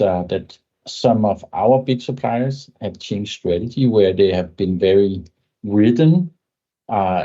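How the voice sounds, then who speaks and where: Podcast guest, man, husky low voice on dull lo-fi audio, thoughtful, tags sentences with "right?". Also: mellow. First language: Danish. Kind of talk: conversational podcast interview.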